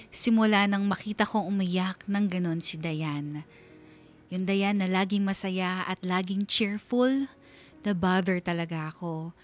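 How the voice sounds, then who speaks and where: A woman's voice: mid-range voice, a bit bright, natural voice. A woman playing an earnest young woman who narrates her own story in a scripted radio drama. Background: faint music.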